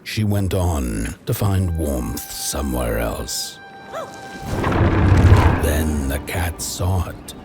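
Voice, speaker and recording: A man narrating a dark fairy tale in a video game as a scripted storyteller. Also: very deep voice, animated, very slow, pauses often, loud music behind the voice, exaggerated voice. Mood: measured, ominous.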